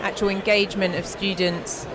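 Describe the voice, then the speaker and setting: Female podcast interviewer, mid-pitched, casual on-location questioning, with a noisy conference venue behind her. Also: level tone, normal speed, a crowd in the background. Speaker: podcast host interviewing guests. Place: busy tech conference venue. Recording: noisy event floor, constant background din.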